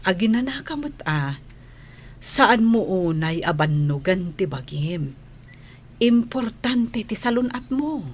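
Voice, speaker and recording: A woman's voice: medium pitch, sing-song, slow, slight background noise. A woman playing a caring, worried nanny in a radio drama, with a concerned, motherly manner. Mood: concerned, accepting. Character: caring, worried household nanny to a young man.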